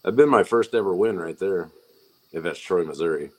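A man's voice: low voice, a little fast, darkish tone. A laid-back man chatting casually as a guest about driving his pulling tractor, with dry humor. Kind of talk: casual chat.

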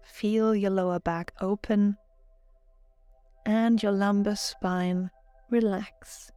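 A woman narrating a guided relaxation meditation in a calm, soothing, measured way, as a yoga nidra guide. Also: mid-range voice, smooth, dry recording. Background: background music.

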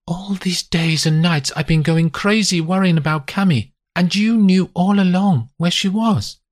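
A man's voice: sits high, a little slow, pitched above the performer's own voice. A man playing an enraged, betrayed wife. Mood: furious. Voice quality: voice raised and strained with anger. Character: furious betrayed wife and mother.

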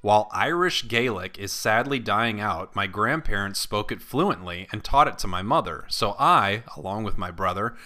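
Bright-voiced joking podcast host, a man. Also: on the low side, expressive, normal speed, hardly any pauses. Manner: playful, joking, reads posts aloud. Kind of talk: casual comedic podcast chat.

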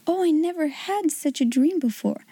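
A woman voicing a young girl, breathy and hushed, half-whispering in an audiobook reading. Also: high voice, expressive, normal speed, no room sound, voice pushed up. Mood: wondering. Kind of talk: audiobook character voice.

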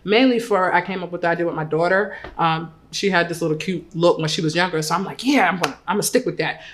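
A woman's voice: lowish voice, fast, slight background noise. A woman who is a rapper and a mother, answering interview questions in an upbeat, chatty way with many fillers. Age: adult mother.

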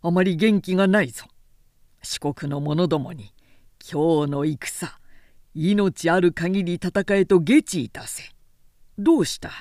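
A woman's voice: fairly low pitch, unhurried, a little mellow, dry recording, clean studio sound, natural voice. A woman voicing a lordly male clan commander in a storybook, haughty and probing in archaic speech. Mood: suspicious, probing.

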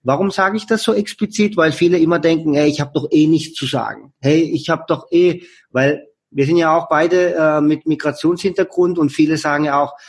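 Husky man giving earnest advice. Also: fairly high pitch, fairly quick, hardly any pauses. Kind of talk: casual conversation.

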